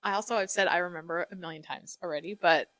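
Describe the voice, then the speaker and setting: A young woman with a bright voice and lively, swinging intonation, recorded close and dry. She tells stories casually to a friend, giggly and poking fun at herself. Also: lowish voice, fast talker, dry recording. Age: young adult. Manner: giggly, self-deprecating, animated storytelling. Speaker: podcast host.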